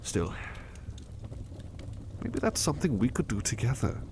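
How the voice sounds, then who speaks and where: A man's voice: mid-range voice, sing-song, background noise. A man playing an overworked, affectionate butler in an intimate ASMR roleplay, speaking politely and hesitantly. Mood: musing, relaxed. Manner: hesitant, halting, polite old-fashioned phrasing, affectionate. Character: overworked, devoted, weary butler resting affectionately on partner's lap.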